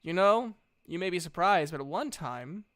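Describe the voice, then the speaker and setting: A man voicing a disillusioned, wistful woman in a tabletop fantasy game, speaking in a measured, rueful way. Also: sits high, lively intonation, fairly bright tone, clear, resonant tone.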